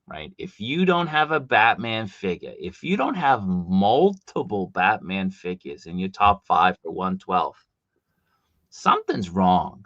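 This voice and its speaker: A middle-aged man with a Boston accent talks casually on a livestream, his voice bright and lively in pitch. The sound is dry and a bit dull, like a desktop mic in a small room. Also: no room sound. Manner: chatty, reads chat comments aloud. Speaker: livestream host.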